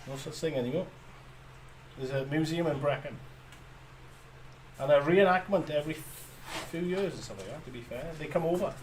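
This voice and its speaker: A man's voice: fairly high pitch, moderate pace, long pauses, darkish tone, recorded from a distance, rough recording. A man hosting a livestream model build, chatting casually and laughing often, with lots of "you know what I mean". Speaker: livestream host. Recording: voice a bit off-mic with faint room noise.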